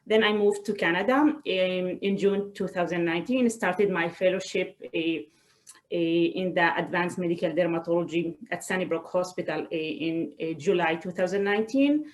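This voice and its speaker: A woman, giving a personal talk in a webinar in a halting, hesitant way with frequent pauses. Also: fairly low pitch. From Israel. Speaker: guest speaker, doctor. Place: online video call.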